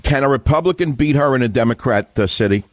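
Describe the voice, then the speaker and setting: A man's voice: mid-range voice, animated, fast talker, no room sound. An older man with a New York accent hosting a radio call-in interview, asking combative, blunt questions with rising emphasis. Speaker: radio talk show host.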